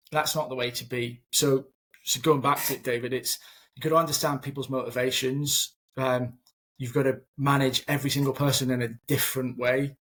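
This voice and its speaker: A man's voice: medium pitch, fairly bright tone, rough recording. A man in his thirties from Scotland, a company founder interviewed over a video call, talking earnestly and often repeating words as he thinks. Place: small home room.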